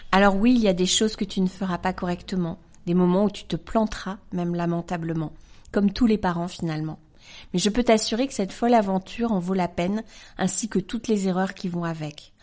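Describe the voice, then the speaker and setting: Gentle woman in her forties reading. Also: mid-range voice, brisk. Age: 40s. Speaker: podcast host. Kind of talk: scripted reading of a letter and her reply.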